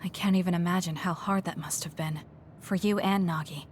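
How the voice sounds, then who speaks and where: A woman voicing a composed, blunt young female soldier in an anime game dub, measured and reserved. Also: on the low side, fairly even intonation, a little hiss or hum, lightly acted. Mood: calm, consoling. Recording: music playing behind the voice. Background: game background music.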